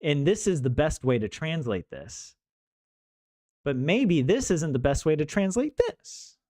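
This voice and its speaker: A man's voice: a little high, very animated, a little slow, darkish tone, dry recording, clean studio sound. A young man chatting casually with viewers as he studies, joking and laughing with lots of rambling restarts. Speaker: language learner streaming to viewers. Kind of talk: casual chat with viewers while studying.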